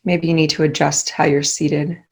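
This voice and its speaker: A woman leading a guided meditation, giving calm, soothing instructions with many pauses. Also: low-pitched, creaky, breathy edge.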